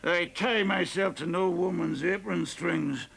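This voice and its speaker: A drunken Irish lodger with a high, bright, gravelly voice that is husky at the edges. He brags loudly, laughs at his own words and swings into shouting. This is an old stage-style radio drama. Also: a little slow, heavily acted. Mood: maudlin confiding. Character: drunken boastful Irish lodger, middle-aged man.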